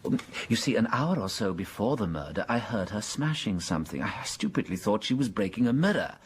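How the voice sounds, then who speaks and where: An actor playing a gentle, shrewd British priest in a radio mystery drama, speaking mildly with polite hesitations. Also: male voice, fairly low pitch, very animated, a little fast, husky, exaggerated voice. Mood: musing. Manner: mild, hesitant, stammering politely.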